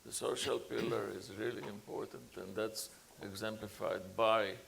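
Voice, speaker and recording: Gravelly low-ish guy on a conference panel, lots of uh and restarts, flat delivery, bit of hiss. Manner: halting, many uh fillers and restarts. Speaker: panelist, engineering professor.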